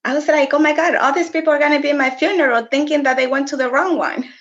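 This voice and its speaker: Animated woman chatting, slightly muffled. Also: sits high, fast talker. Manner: animated, laughs often, code-switches briefly. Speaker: podcast co-host. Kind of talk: casual conversation.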